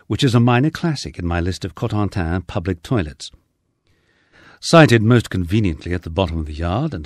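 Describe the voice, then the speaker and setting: A man narrating a first-person memoir from a script, with a husky voice and a wry storytelling manner. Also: lowish voice, darkish tone, no room sound, studio quality. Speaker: audiobook narrator.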